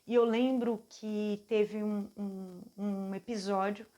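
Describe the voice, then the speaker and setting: A Brazilian woman in her forties giving an earnest, heartfelt personal faith testimony, speaking haltingly with restarts. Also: medium pitch, level tone, mellow. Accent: Brazilian Portuguese. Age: 40s. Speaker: channel host giving a testimony.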